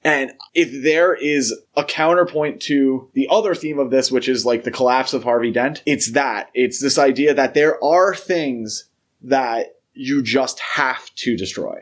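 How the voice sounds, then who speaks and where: Man, medium pitch, casual film discussion, explanatory, analytical, podcast co-host. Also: unhurried.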